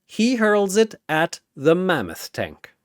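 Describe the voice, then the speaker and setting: Male narrator for fantasy battle story: theatrical, measured and slow, in a dry recording. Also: high voice, huge pitch swings, no room sound. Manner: theatrical, deliberate. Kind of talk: dramatic scripted battle narration.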